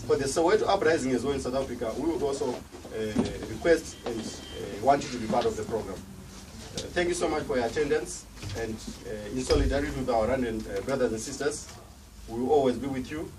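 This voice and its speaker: A man's voice: medium pitch, brisk. A man giving closing remarks at an event, hesitant, with many fillers. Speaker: event organizer. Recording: distant mic, clear background noise.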